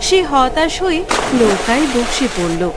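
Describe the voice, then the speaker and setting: A woman's voice: high voice, very animated, measured pace, heavy background noise. A woman reading scripted narration for a cartoon moral story. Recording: music behind the voice.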